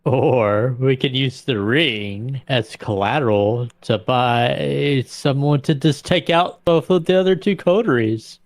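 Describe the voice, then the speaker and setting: A man's voice: mid-range voice, slow pace, hardly any pauses, no room sound. A man chatting about tactics as a player in a tabletop roleplaying game. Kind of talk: casual game strategy discussion.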